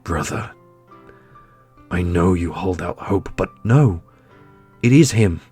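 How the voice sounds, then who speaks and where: A man playing a solemn, loyal prince and protective brother in a fantasy audio roleplay, speaking earnestly and deliberately. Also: deep voice, animated, warm tone, husky, full character voice. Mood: defiant, rousing. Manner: earnest, deliberate, grandly heroic. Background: orchestral music.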